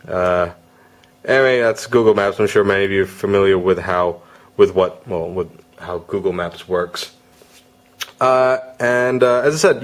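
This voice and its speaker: A man giving a casual, conversational product demo as a gadget reviewer. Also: on the low side.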